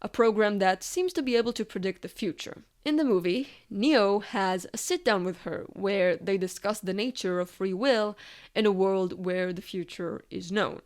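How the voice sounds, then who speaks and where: A woman giving scripted, analytical narration as a video essayist, explaining step by step with rhetorical questions and deliberate pauses. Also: mid-range voice.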